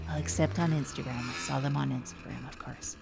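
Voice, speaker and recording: A woman's voice: extremely low pitch, steady tone, a little fast, gravelly, a little mellow, soft background music, exaggerated voice. Woman acting as hapless hobby foodie, earnest, rambling, hesitant, a little defensive, comedy podcast storytelling. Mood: earnest, wry storytelling. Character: earnest, hapless amateur artisanal-coffee maker telling camping misadventures. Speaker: podcast narrator. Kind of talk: improvised comedy audio drama narration.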